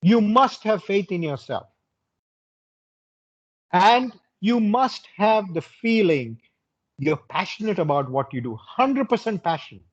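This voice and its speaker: An older man in his sixties speaks with big swings of pitch and a rough, husky edge. He is emphatic, restating key phrases as he motivates young students. The sound is slightly dull and narrow. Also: lively intonation, slow, long pauses. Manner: emphatic, repeats phrases for stress. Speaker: hotel general manager, guest speaker.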